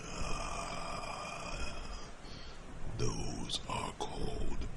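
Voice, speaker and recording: A man voicing a mischievous royal dragon mentoring a youngling, with a breathy growl and formal, musing hums, in an ASMR fantasy roleplay. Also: noisy background. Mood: gentle, stately. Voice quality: very deep, gravelly, breathy growl. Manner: slow, stately, formal, musing with low hums.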